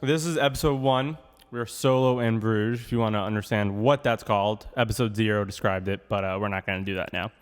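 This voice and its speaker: A man's voice: on the low side, steady tone, fairly quick, hardly any pauses, clean tone, big-room sound. A young man co-hosting a casual podcast, joking and rambling with plenty of fillers. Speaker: podcast co-host. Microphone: studio microphone.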